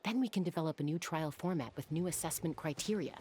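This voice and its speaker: A woman's voice: sits low, fast talker, darkish tone, slightly rough. A woman voicing a cool-headed, analytical female warrior in an anime game, serious and matter-of-fact. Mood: reasoned.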